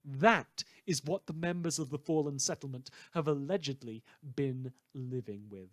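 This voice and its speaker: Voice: medium pitch, moderate pace, no room sound, clean studio sound, close to an everyday voice. A performer playing a chatty, wry radio news host in a superhero world, theatrical and teasing, rambling and correcting themself in a fan audio drama. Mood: teasing, ominous.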